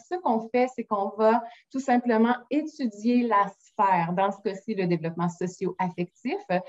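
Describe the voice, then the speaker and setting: A woman's voice: mid-range voice, a little mellow. A woman in her forties from Quebec with a Québécois French accent, presenting educational games in a friendly webinar over a video call. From Quebec, Canada. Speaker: game company presenter. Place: room at home with window blinds. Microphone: laptop mic on video call. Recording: muffled video call audio.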